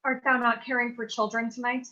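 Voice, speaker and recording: A woman's voice: fairly high pitch, little pitch movement, a little fast, pushed and bright. A woman playing an anxious, bookish teenage girl in a mock-Shakespearean staged reading, speaking earnestly in theatrical archaic language. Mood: serious, scolding. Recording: dull lo-fi sound.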